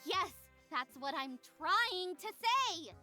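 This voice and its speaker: High-pitched, hot-tempered magical girl. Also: sing-song, unhurried, pushed and bright, faint music behind, heavily acted. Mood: exasperated. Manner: dramatic, sarcastic, exasperated outbursts. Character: hot-tempered, self-pitying young magical girl in distress. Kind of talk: visual novel character voice acting.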